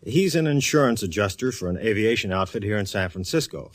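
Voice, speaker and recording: An actor playing a dry, easygoing male police inspector in a 1940s radio drama, bantering in a deadpan way. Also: lowish voice, brisk, no room sound, close to an everyday voice.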